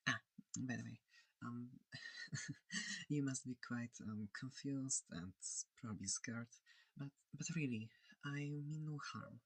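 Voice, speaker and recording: A friendly alien examiner played by a performer in an ASMR roleplay, speaking slowly and softly in a close, dry sound. The voice is breathy with some gravelly creak, and the manner is curious, polite and gently reassuring. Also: medium pitch, no echo, heavily acted, rough recording. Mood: gentle, reassuring. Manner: soft-spoken, hesitant, gently inquisitive.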